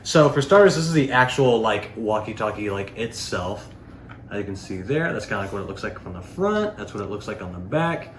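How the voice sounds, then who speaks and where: Low-voiced man in an echoey room. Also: expressive, fairly quick. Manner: rambling, informal, heavy filler "like". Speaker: product reviewer. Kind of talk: casual unscripted product demonstration. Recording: echoey room with faint background noise.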